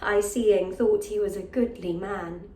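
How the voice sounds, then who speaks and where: A woman's voice: mid-range voice, fairly even intonation, unhurried, mellow, slight background noise, close to an everyday voice. A woman performing a classical stage monologue as a lovestruck, impulsive young jailer's daughter, breathless and exclaiming. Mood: smitten, yearning. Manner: emotional, exclaiming, with gasps and sighs. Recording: echoey room.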